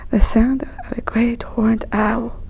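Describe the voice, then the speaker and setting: A woman reading a children's story aloud in a hushed, breathy, whispery storytelling voice. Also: a little high, level tone, slow pace.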